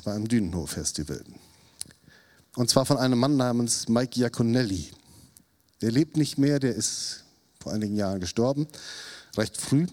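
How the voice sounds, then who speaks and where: A man in his fifties preaching a sermon, animated, acting out quotes with a raised voice. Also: mid-range voice. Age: 50s. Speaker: pastor.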